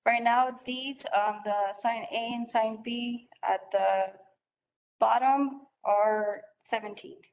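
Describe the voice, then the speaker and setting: A woman's voice: fairly high pitch, steady tone, slow, darkish tone, rough recording. A woman informally explaining details as a presenter in a meeting. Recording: faint background noise.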